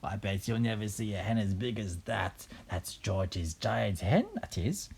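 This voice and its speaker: A man's voice: fairly low pitch, animated, unhurried, gravelly, husky, full character voice. Man putting on a cackling, boastful old granny. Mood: crowing. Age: older. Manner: shouting and crowing, boastful. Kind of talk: children's storybook character reading.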